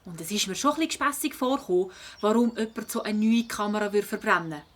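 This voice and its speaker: A woman playing a spirited, curious schoolgirl detective in a children's audio play, lively and inquisitive, with a Swiss German accent. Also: medium pitch, quick pace, lightly acted. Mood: surprised, keen.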